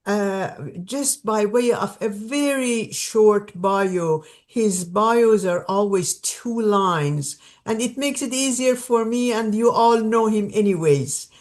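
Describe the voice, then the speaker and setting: A woman's voice: medium pitch, slow, hardly any pauses, darkish tone. A woman hosting an online gathering over a video call, welcoming people and introducing a guest, with many fillers and restarts. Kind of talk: warm spontaneous welcome and introduction.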